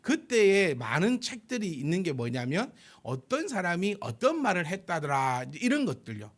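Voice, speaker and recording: A man in his forties, a pastor, giving a chatty, informal sermon with a self-deprecating manner. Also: fairly high pitch. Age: 40s. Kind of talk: sermon, conversational and anecdotal.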